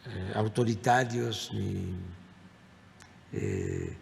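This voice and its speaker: Slow, gravelly man in a reverberant hall. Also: on the low side, huge pitch swings, a little mellow, soft background music. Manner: slow, halting, with many filler pauses. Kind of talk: measured public statement. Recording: long reverb, faint ambience.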